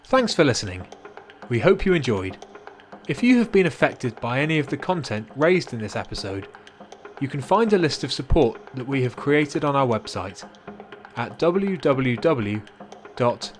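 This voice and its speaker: A man's voice: mid-range voice, big pitch swings, moderate pace. A man reading a scripted podcast outro as announcer. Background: music.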